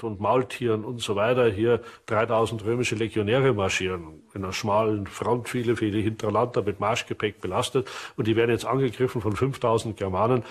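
A man speaking off the cuff as an expert, explaining in loose, run-on sentences. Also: mid-range voice. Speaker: expert commentator. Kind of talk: unscripted explanation in an interview.

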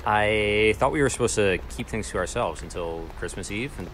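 A man's voice: fairly low pitch, lively intonation, normal speed, a bit bright, slight background noise. A young American man playing a responsible, dryly amused college student in a naturalistic audio drama. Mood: dry amusement. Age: young adult.

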